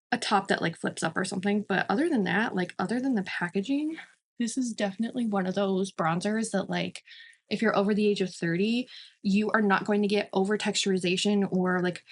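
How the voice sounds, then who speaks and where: Chatty thirties woman reviewing makeup. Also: medium pitch. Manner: chatty, emphatic, repeats words for emphasis. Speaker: beauty vlogger.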